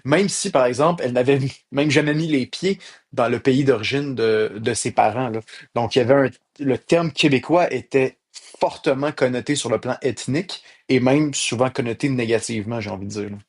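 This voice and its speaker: Mid-pitched man, explaining. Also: moderate pace, slightly rough. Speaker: radio panelist, former schoolteacher. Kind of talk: current affairs discussion. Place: radio studio.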